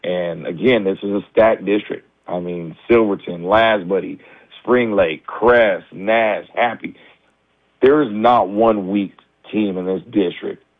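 A man, a high school basketball coach, talking candidly in a radio interview with lots of restarts; his voice is a little raspy as he recovers from the flu. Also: unhurried, dry recording. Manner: candid, many restarts and fillers. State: recovering from flu, low energy. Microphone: phone line. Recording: tinny dry phone line.

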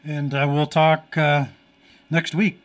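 A man's voice: a little high, level tone, slow. A man, a radio host chatting casually and joking with a guest.

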